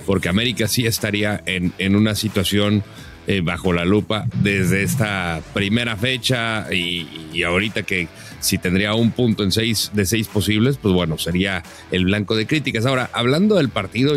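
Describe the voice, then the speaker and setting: A Mexican man with a low, deep voice talks through football in a casual podcast chat. He is measured and analytical, and he pauses often with "eh" fillers. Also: a bit bright, faint music behind. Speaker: sports podcast panelist.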